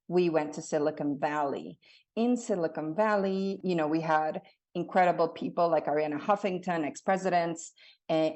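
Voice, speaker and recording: A woman in her thirties with a low voice talks through her own story as an interview guest. She grew up in Latin America and speaks earnestly, restarting her sentences often as she goes. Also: darkish tone. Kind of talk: casual conversational storytelling.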